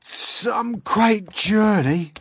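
A man voicing a brash, fussy centipede in a storybook, loud and emphatic. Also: high voice, very slow, husky, no echo, pitched above the performer's own voice, exaggerated voice. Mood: sarcastic.

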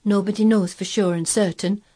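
Woman acting young Yorkshire maid, husky voice, anxious, exclaiming, gossipy, storybook dialect. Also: medium pitch, fairly even intonation, moderate pace, dark tone, lightly acted. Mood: confiding. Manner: exclaiming, dialect-speaking, fretful. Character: agitated young Yorkshire housemaid, fearful, gossipy.

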